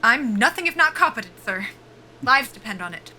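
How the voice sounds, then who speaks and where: An actress playing a guarded young soldier-pilot at a job interview in an audio drama, politely formal and clipped, with dry humor. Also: female voice, fairly high pitch, big pitch swings, moderate pace, pushed and bright, slight background noise, exaggerated voice. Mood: stiff, eager. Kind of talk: naturalistic audio drama acting.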